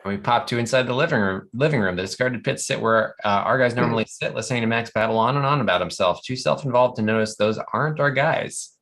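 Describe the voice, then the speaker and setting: Table read narrator, husky rough-edged tone, medium pitch, scripted stage directions, animated comedy. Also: fast, hardly any pauses.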